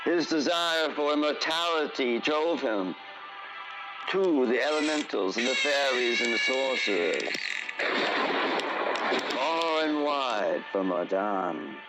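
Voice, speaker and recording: Male narrator, very slow pace, grandiose fantasy storytelling, deliberate pauses, music underneath. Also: medium pitch, expressive, rough recording. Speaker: radio drama narrator. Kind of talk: dramatic scripted fantasy storytelling, old radio theatre.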